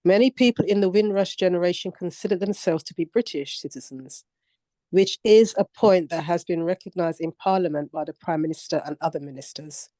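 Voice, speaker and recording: Low, mellow-voiced older woman reading. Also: dry recording. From Commonwealth country. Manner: careful, measured reading with hesitations. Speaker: book club reader. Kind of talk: reading a policy text aloud on a video call.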